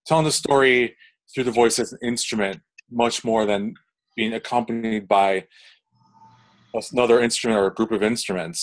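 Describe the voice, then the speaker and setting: A man's voice: fairly low pitch, poor audio. A young man, a composer, answering interview questions in casual talk, rambling with many fillers and restarts.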